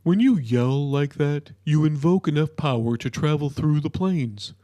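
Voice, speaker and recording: Male narrator as a large amphibious creature, cartoonish low voice, friendly, deliberate, storybook character, dry close sound. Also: expressive, mellow, dry recording. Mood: patient chiding. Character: big, slow-seeming amphibious creature, friendly, patient.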